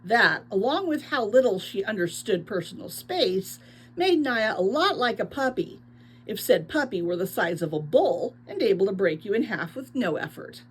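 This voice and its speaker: A woman's voice: mid-range voice, sing-song, normal speed, slightly rough, poor audio. A woman reading a book aloud expressively as a teacher, adding short explanatory asides.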